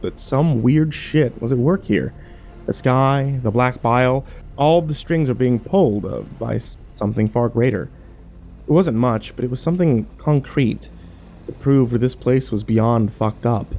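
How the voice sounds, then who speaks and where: Man acting a mellow, troubled horror narrator. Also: mid-range voice, natural voice. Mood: uneasy, wry. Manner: colloquial, brooding, sweary. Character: troubled, wary male survivor telling his story. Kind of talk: first-person horror audio drama narration.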